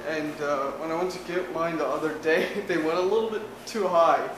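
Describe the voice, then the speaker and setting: A young man chats in a loose, rambling way, full of ums and uhs, in a voice pitched a little high for a man. He holds the camera at arm's length while walking around a small spare bedroom indoors, with faint room ambience behind him. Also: moderate pace, a bit bright, slight background noise, rough recording. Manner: rambling, filler-heavy, loose and chatty. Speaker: vlogger. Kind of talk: casual vlog chat. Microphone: handheld camera at arm's length, moving around.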